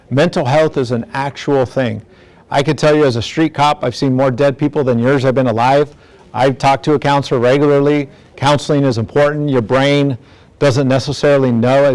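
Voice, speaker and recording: A man's voice: mid-range voice, darkish tone. A middle-aged man giving an informal, direct community talk to parents as a law enforcement speaker. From National City, San Diego area, United States. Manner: plainspoken, direct, acts out imagined dialogue.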